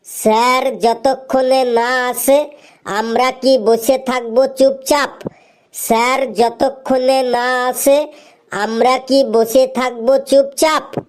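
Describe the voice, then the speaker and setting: A cheeky schoolboy show-off from a comedy cartoon, acted by a woman. The voice is high and very unhurried. Lines are drawn out and declaimed with bossy swagger. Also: level tone. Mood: bossy, theatrical. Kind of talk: Bengali comedy cartoon dialogue.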